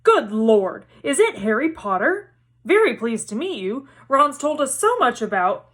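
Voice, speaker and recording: Storybook wizard father read by a woman: sheepish, good-natured, hesitant, slightly high voice. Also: big pitch swings, a little slow, a bit bright, exaggerated voice. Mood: eager. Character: mild, sheepish, enthusiastic middle-aged wizard father.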